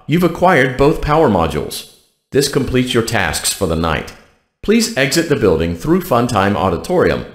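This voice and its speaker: A man voicing a polite, deadpan, callous automated facility guide in a video game, giving scripted instructions in a measured, officious way. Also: medium pitch, echoey room, close to an everyday voice.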